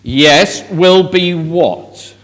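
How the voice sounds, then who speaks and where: A man's voice: a little high, very slow, fairly bright tone. A man leading an interactive children's talk in church, asking the audience questions.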